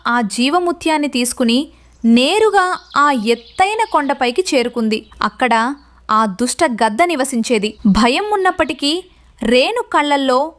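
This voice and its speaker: A woman reads a scripted children's story as narrator. Her voice is high-pitched. She tells it with dramatic storytelling delivery. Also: lively intonation, unhurried.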